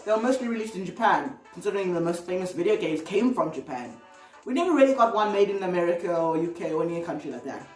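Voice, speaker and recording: A woman hosting a casual, opinionated video commentary in a rambling, exclamatory, conversational way. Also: mid-range voice. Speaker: video host. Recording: roomy, distant mic, music underneath. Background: music.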